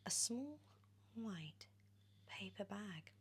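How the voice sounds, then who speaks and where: A British woman reading a storybook in ASMR style, drifting between soft whispers and expressive, animated speech. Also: mid-range voice, very slow, a little hiss or hum. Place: on a sofa indoors.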